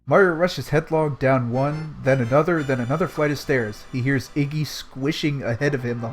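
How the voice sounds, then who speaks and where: A man's voice: medium pitch, moderate pace. A man reading a storybook aloud as host of a group read-along, dropping in casual, amused asides. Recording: music playing behind the voice. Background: music.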